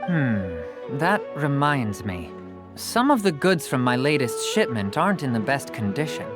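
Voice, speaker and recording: A jovial smooth-talking merchant. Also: male voice, medium pitch, sing-song, unhurried. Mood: insistent. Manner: jovial, persuasive, salesman patter, chuckling. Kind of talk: video game dialogue. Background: game music.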